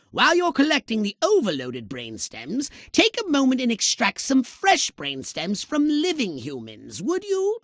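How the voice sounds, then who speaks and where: Snooty evil alien boss from a video game, super theatrical, sharp forceful voice, very dry close recording. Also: male voice, high voice, gravelly, dry recording, exaggerated voice. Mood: sardonic, disdainful. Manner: pompous, theatrical, clipped enunciation, sardonic. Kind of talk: scripted video game mission dialogue.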